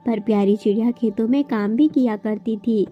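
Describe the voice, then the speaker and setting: A woman narrates a children's cartoon tale from a script. Her voice is high. The audio is a bit dull, with music running softly beneath. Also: normal speed.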